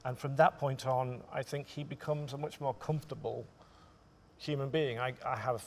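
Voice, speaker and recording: A man in his forties, a writer speaking thoughtfully and in halting, measured phrases on a discussion panel. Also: mid-range voice, steady tone, gravelly, slight background noise. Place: theatre stage, indoors.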